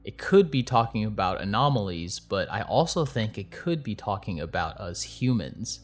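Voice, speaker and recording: A man's voice: on the low side, sing-song, moderate pace. A man hosting an analysis video, reading scripted explanatory narration addressed to his viewers. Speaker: host of a video analysis channel.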